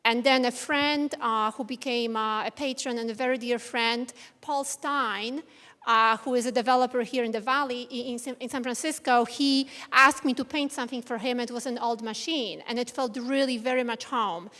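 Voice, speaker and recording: A woman's voice: on the high side, steady tone, normal speed, pushed and bright, long reverb tail. A woman from Poland, an artist talking onstage in a fireside conversation, earnest and searching for words. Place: event venue with audience.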